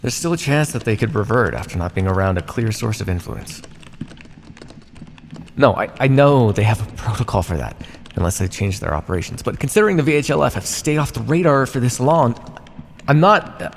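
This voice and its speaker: A man acts out a sardonic vampire captor in an audio roleplay. His voice has a creaky, gravelly edge and moves animatedly up and down. It rings in a long reverberant space with faint noise behind it. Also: medium pitch. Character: teasing, menacing male vampire captor, centuries old scientist. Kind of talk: ASMR roleplay acting.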